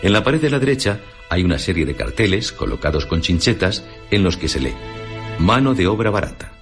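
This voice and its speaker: Deep, animated Castilian male narrator. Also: brisk. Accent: Castilian Spanish. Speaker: radio documentary narrator. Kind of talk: dramatic scripted narration. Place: radio studio. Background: clear background music.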